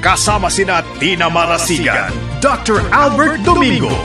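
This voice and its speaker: A Filipino man voices a station promo. His scripted delivery swings widely in pitch. Music runs clearly behind the announcement. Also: big pitch swings, moderate pace. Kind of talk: scripted radio promo voice-over.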